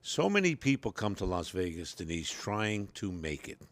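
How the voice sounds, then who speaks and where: A man hosts an interview in a measured, unhurried way and pays his guest compliments as he asks his questions. His voice is low, and it sounds dry and close. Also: very animated, no room sound. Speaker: show host. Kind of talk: interview hosting.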